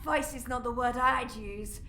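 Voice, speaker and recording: A woman's voice: fairly high pitch, unhurried, sharp tone, a little hiss or hum. A woman playing a curt, grudging prison guard in an audio drama, grumbling and easily flustered. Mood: dismissive. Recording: echoey room.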